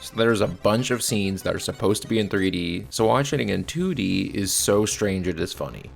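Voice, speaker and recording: A man with a low voice that often drops into fry. He delivers a blunt, casual scripted review, with faint music underneath. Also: lively intonation, normal speed. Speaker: video reviewer. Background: faint background music.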